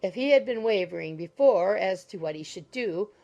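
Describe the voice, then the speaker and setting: A woman's voice: medium pitch, animated, normal speed, dull audio. A woman reading scripted literary narration as an audiobook narrator. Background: soft music.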